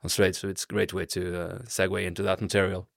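A man's voice: deep voice, level tone, fast talker, creaky, no room sound, studio quality. A man hosting a podcast in casual chat, rambling through anecdotes and teasing his guest.

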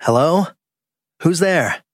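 A man's voice: on the high side, lively intonation, takes it slowly, dry recording, clean studio sound, voice pushed up. A man playing a trapped, guilty man in an audiobook crime story, fearful and pleading. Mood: panicked. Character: Darren, trapped, panicking, secretly guilty man. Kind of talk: audiobook dialogue acting.

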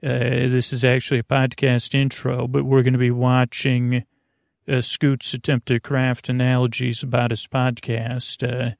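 Creaky, meandering male bedtime podcast host. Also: mid-range voice, monotone, no echo, clean studio sound. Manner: meandering, halting, repeats and trails off. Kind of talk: rambling bedtime podcast monologue.

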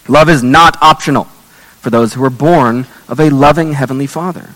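A man preaching a sermon as pastor, earnest and measured, reading scripture aloud while his voice is going hoarse. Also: a little high, a bit bright, in a large hall, poor audio. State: losing his voice.

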